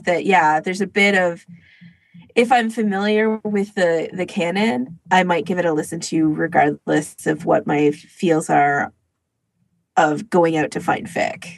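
A woman co-hosting a podcast in casual chat, often interrupting herself as she tells things in an animated way. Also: on the low side, unhurried, gravelly, no echo. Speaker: podcast co-host.

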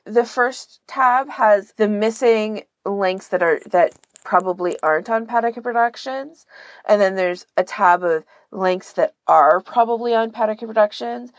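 A woman's voice: medium pitch, unhurried, no echo, lo-fi sound. A woman explaining things in a casual podcast chat, informally and with plenty of filler words. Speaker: podcast guest and volunteer archivist.

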